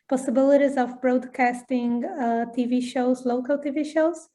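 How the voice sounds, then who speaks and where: A woman with a Brazilian Portuguese accent and a high voice, speaking English in a business meeting. She stops often on fillers as she explains what her company does. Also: darkish tone. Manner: hesitant, many fillers, frequent laughs. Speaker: TV channel representative. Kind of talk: online business meeting chat.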